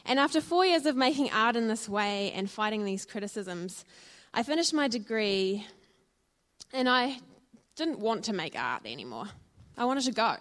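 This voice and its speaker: A woman's voice: fairly high pitch, sing-song, moderate pace, fairly bright tone. A woman with a New Zealand accent, probably in her thirties, giving an earnest and reflective personal testimony to a church audience, with frequent ums and uhs. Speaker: church speaker sharing her story.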